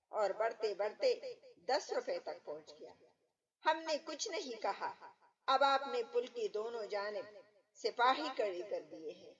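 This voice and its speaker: A woman's voice: fairly high pitch, distant mic, highs cut off. A woman voicing meek, long-suffering townsfolk in a moral story, politely and deferentially petitioning their king.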